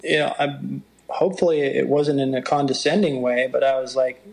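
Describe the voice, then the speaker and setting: A middle-aged man with a low voice, talking through stories in an interview and sometimes quoting others in a livelier tone. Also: fairly even intonation, gravelly, a little mellow, low-quality recording. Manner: unhurried, storytelling, quotes others in a livelier voice. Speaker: motocross broadcaster. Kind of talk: reflective interview talk.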